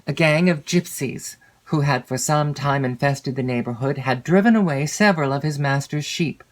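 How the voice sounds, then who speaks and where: A woman reading literary fiction as an audiobook narrator, in a measured, expressive storytelling manner. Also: sits low. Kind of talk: scripted literary narration of a classic novel.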